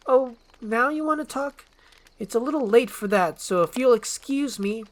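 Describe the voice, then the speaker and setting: A woman voicing a spirited, proud, wounded princess with a curt, sharp-tongued manner in a fairy tale screenplay. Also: fairly high pitch, lively intonation, moderate pace, voice pushed up, natural voice. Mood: annoyed.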